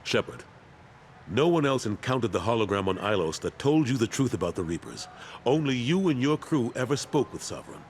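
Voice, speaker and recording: A man's voice: mid-range voice, very animated, brisk, slight background noise. An actor playing a firm, plain-spoken older military man turned politician in a video game. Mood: calm, frank. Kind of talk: video game dialogue acting.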